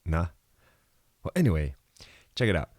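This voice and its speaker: Male podcast host, low voice, upbeat, chatty, casual interviewer. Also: big pitch swings, moderate pace, a little mellow, no echo, clean studio sound. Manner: upbeat and chatty, loose phrasing with fillers. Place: business school campus during a conference.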